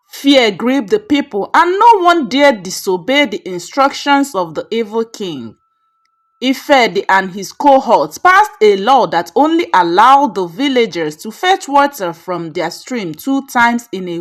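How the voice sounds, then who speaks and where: A Nigerian woman narrates a folktale from a script. Her voice sits fairly high and moves widely up and down in pitch. She phrases the story in a measured, dramatic way, as a storyteller does.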